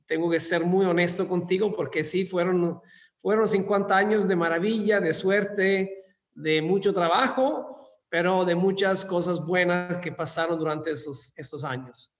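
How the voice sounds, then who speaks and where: A man's voice: high voice, a little slow. A fifty-year-old man from southern Italy with an Italian accent, telling his story as an interview guest in Spanish as a second language, courteous and often repeating words. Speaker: interview guest, hotel managing director. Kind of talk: conversational personal storytelling. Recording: long hall-like reverb.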